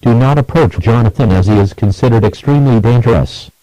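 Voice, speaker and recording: A man reading a scripted emergency alert as an announcer, in a measured, even manner through lists and warnings. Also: mid-range voice, no echo, poor audio.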